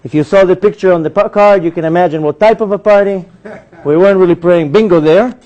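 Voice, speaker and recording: A man's voice: fast, highs cut off. A man in his forties from Israel, telling his life story to an audience in a synagogue hall and acting out the voices in it. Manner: animated storyteller who acts out dialogue in a higher voice. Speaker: speaker giving a talk.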